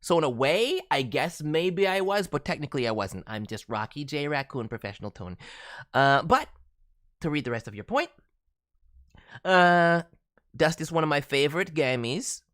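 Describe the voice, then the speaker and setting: A man's voice: a little high, lively intonation, normal speed, a bit bright. A man voicing a boastful, silly cartoon raccoon who hosts a livestream in a playful, showy, rambling way with cutely mangled words. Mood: boastful, chatty. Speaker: host reviewing voice demo reels on a livestream. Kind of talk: livestream chat in a cartoon character voice.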